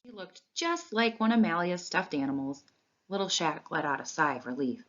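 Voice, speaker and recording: A woman reading a children's storybook aloud in an expressive, animated way. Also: lowish voice, normal speed. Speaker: read-along reader. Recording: dull, lo-fi sound.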